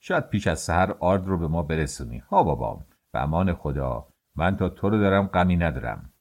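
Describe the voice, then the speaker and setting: A man's voice: deep voice, very animated, darkish tone, heavily acted. A man voicing a middle-aged male master baker who gives orders to his worker in a commanding, teasing way. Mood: bantering.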